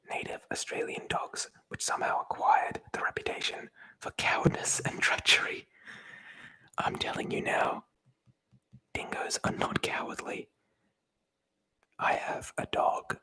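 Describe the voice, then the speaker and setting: Someone whispering in a breathy voice, reading aloud from a book in an ASMR style with chatty, informal commentary. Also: pushed and bright, rough recording. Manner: chatty, informal, swears casually. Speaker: presenter reading aloud from a tabletop RPG book.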